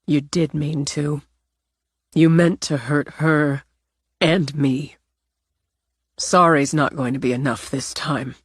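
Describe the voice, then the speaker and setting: A woman voicing a calm, firm older grandmother who speaks in a measured, steady way. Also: sits low, slightly rough, dry recording, clean studio sound, heavily acted.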